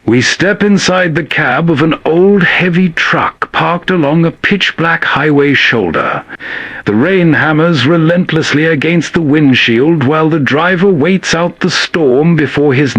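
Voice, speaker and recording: A man's voice: on the high side, unhurried, fairly bright tone, no room sound. A man narrating as host of a nostalgic radio show, in scripted, atmospheric storytelling.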